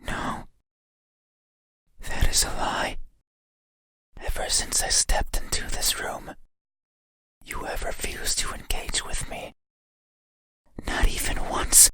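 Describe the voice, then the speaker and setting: Whispering, ageless horror being. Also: male voice. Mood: accusing, menacing. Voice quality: breathy, voiceless whisper. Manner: slow, solemn, halting monologue. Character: ageless mysterious horror being, melancholy, brooding, menacing. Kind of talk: horror visual novel monologue. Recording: loud eerie music over whisper. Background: loud scary music.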